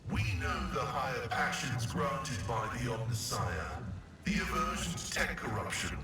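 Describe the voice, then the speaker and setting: An actor playing a proud, devout tech-priest in a video game, speaking in formal, pompous, liturgical phrases with heavy echo. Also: male voice, medium pitch, a little slow. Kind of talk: video game character acting. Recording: very echoey, distant, loud music behind.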